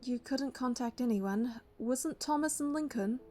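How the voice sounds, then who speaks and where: An actress playing a devoted, protective young bodyguard heroine in a romance game, telling her story in an earnest, measured way. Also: high-pitched, warm tone, faint music behind. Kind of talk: romance game narration and dialogue.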